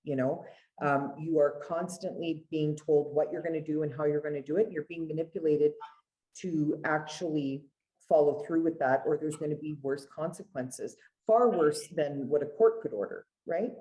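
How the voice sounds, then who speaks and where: A Canadian woman with a low, mellow voice speaks as an interview guest. She tells her professional stories conversationally, in an earnest and emphatic manner. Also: moderate pace, dull audio. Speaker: guest, family lawyer.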